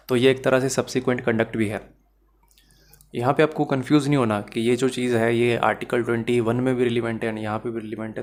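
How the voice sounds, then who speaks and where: Pakistani man explaining like a lecturer. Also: medium pitch. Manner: didactic, switches between Urdu and English phrases. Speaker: law lecturer. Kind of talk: explanatory lesson with worked examples.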